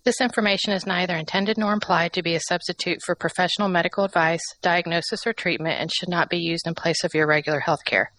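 A woman's voice: lowish voice, fairly even intonation, quick pace, few pauses, a bit bright, no echo, rough recording. A woman speaking as the host of a radio health interview.

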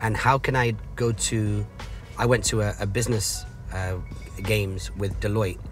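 A man's voice: on the low side, fairly even intonation, unhurried. Slangy, emphatic man telling stories over music. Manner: slangy, emphatic, acts out quoted exchanges. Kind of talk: casual storytelling conversation.

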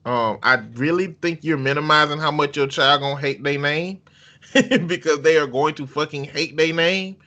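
A man's voice: on the high side, normal speed, bright, forceful tone. A man co-hosting a talk show, giving blunt, profane opinions. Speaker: podcast co-host. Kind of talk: casual opinionated chat.

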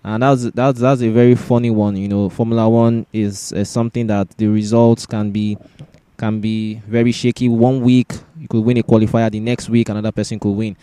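A man's voice: fairly low pitch, little pitch movement, fairly quick, dark tone, smooth, no echo. A man hosting a casual sports discussion podcast, often repeating words and phrases for emphasis.

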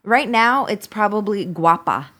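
Relaxed woman, native Albanian speaker. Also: medium pitch, measured pace. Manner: relaxed, hesitant, many fillers. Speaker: podcast co-host reading listener questions. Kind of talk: casual chat.

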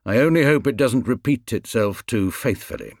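A man's voice: mid-range voice, moderate pace, darkish tone, no echo, lightly acted. A British man voicing a wry, sceptical family man with a dry, doubtful manner in a storybook.

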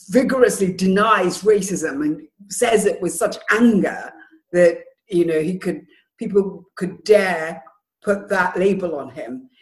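A man speaking quickly in a radio interview, searching for words and restarting phrases. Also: high-pitched, reverberant, rough recording. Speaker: councillor.

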